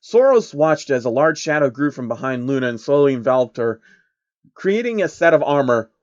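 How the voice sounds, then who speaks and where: A man's voice: mid-range voice, moderate pace, no echo, dull audio. A man reading fan fiction as narrator, theatrical, with amused asides. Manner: animated, theatrical.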